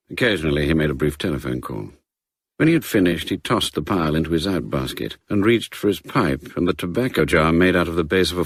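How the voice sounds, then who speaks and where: A man's voice: low voice, no room sound, studio quality. A man with a British accent narrating a scripted drama as a storyteller.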